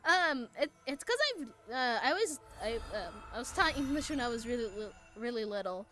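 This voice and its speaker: A woman streamer whose first language is Spanish reads game text aloud and chats between lines. Her voice sits slightly high, and she trips over words and restarts often. Soft game music plays underneath. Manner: halting, many restarts and fillers, animated asides.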